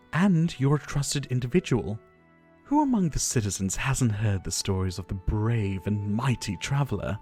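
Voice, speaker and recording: Male actor as devoted, ailing pharmacist for ASMR roleplay; lowish register, soft, courteous, wistfully theatrical delivery over background music. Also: vocal fry. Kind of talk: ASMR roleplay addressed to the listener.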